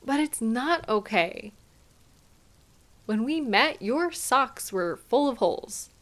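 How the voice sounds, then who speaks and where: A woman playing a caring, gently chiding partner in an intimate audio roleplay, speaking soothingly to a sweetheart. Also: a little high, lively intonation. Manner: tender, soothing, gently questioning and unhurried. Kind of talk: intimate scripted audio roleplay addressed to the listener.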